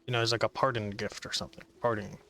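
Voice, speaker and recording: A man's voice: fairly low pitch, level tone, fast, vocal fry, pushed and bright. A man giving casual gameplay commentary in an offhand manner. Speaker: gaming video host. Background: faint game music.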